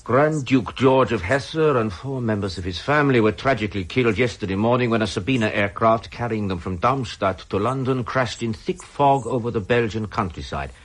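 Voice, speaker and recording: An actor playing a stern, brusque, authoritative Soviet major with a slightly husky voice in a radio drama. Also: male voice, mid-range voice, a little fast, hardly any pauses, natural voice. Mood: reading aloud. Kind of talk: British radio drama acting.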